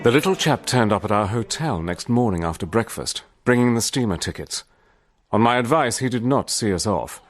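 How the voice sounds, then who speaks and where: Determined adventurer, low voice, measured first-person narration, wartime audio drama, soft music behind. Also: male voice, expressive. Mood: measured, reflective. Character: cool, determined adventurer narrating his wartime spy mission. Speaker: narrator and lead character.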